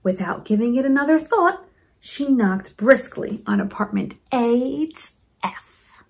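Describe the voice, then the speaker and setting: A woman reading a storybook aloud to children in an expressive way, with chatty asides to her young listeners. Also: fairly high pitch, takes it slowly, creaky. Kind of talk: animated children's read-aloud.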